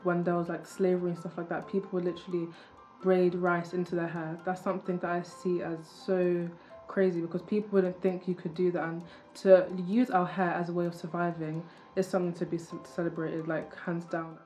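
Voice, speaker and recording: A young woman speaking earnestly in an interview, filling pauses with "like" and "um". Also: lowish voice, little pitch movement, darkish tone, soft background music. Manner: earnest, with many fillers. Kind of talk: casual reflective talk.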